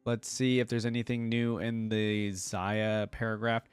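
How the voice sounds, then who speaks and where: A man's voice: fairly low pitch, flat delivery, measured pace, clean tone, no room sound. Man casually reacting, close dry sound. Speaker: reaction video host. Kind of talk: casual commentary, reading text aloud.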